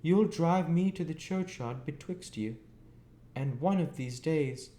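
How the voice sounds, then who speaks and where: A man's voice: on the high side, unhurried, dark tone, voice pushed up, close to an everyday voice. A man voicing a furious, nagging working-class woman scolding her family in a storybook reading. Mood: bitter nagging. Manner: exclamatory, nagging. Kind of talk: audiobook character reading. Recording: roomy echo, faint background noise.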